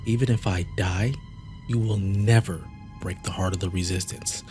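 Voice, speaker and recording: Man acting a defiant, low-voiced rebel leader. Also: steady tone, moderate pace, natural voice. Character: defiant alien resistance leader, captive but unbroken. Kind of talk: audio drama acting. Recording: faint music underneath.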